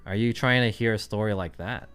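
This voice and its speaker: A man's voice: on the low side, fairly quick, a bit bright, soft background music. A man chatting casually on his livestream, rambling and thinking aloud with lots of fillers. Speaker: streamer.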